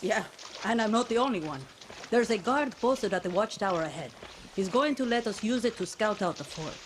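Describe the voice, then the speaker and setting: A woman's voice: medium pitch, lively intonation, noisy background, close to an everyday voice. An actress playing a tough, wry female rebel and former volunteer soldier who briefs a partner on a mission in a video game. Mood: brisk. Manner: brisk, instructive, wry. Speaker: guide giving mission briefings. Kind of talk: video game cutscene acting.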